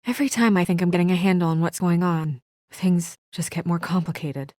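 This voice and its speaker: A woman playing an earnest, weary and conscientious young administrator in a video game, speaking in a measured, serious, sometimes hesitant way. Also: lowish voice, fairly even intonation, darkish tone, dry recording, studio quality, natural voice. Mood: downcast, reflective. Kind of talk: naturalistic video game voice acting.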